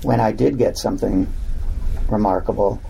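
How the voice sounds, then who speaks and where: A male radio host interviewing an author, speaking haltingly with many fillers and restarts. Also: fairly low pitch, vocal fry, warm tone, slight background noise, poor audio. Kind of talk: slow, halting interview talk. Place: bookstore talk.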